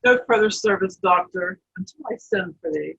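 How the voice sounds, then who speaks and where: A woman playing a sly, commanding wicked queen in a Shakespeare read-through, with theatrical, halting delivery over a video call. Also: medium pitch, expressive, creaky, fairly bright tone, slightly rough, exaggerated voice. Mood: scheming, persuasive. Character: scheming wicked queen, middle-aged stepmother, commanding and sly. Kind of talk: Shakespeare play read aloud. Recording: muffled video-call audio.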